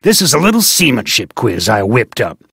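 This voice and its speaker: A blunt, folksy fisherman from a mystery game. His voice is gravelly and a little husky, with a bright edge. He talks dismissively, with a lively swing in pitch. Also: moderate pace, no room sound, exaggerated voice. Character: gruff fisherman and harbor master hopeful, blunt. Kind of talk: video game voice acting.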